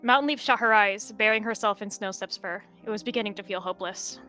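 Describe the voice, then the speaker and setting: Soft-spoken woman narrating a roleplay. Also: mid-range voice, fast, faint music behind. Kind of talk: roleplay storytelling with character voices.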